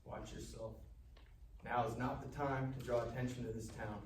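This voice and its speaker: A man's voice: medium pitch, flat delivery, moderate pace, close to an everyday voice. A man acting a stern, fed-up small-town mayor at a live table read, firm and admonishing. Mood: stern, exasperated. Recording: echoey, distant room mic with faint noise.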